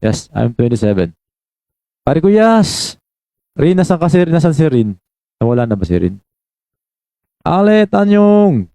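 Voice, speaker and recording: A man's voice: fairly high pitch, sing-song, normal speed, vocal fry, long pauses, dark tone, no echo, clean studio sound. A man in his twenties with a Filipino accent, hosting a casual live stream, switching between Tagalog and English and calling out viewers by name. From Philippines. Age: 20s.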